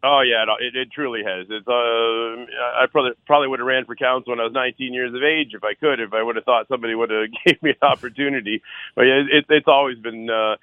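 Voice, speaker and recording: A middle-aged man from Six Nations in Canada, a council candidate on a phone-in radio interview, earnest and loud-voiced, with many uh fillers and restarts. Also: medium pitch, fairly even intonation, fast talker, dry recording. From Six Nations, Ontario, Canada. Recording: dry tinny phone line.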